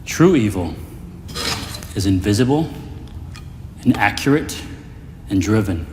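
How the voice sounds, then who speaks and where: Male actor as calm condescending evil mastermind, low voice, measured lecture, echoey, dramatic monologue. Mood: quiet menace. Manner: measured, lecturing, quietly superior. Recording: echoey hall-like reverb, faint noise.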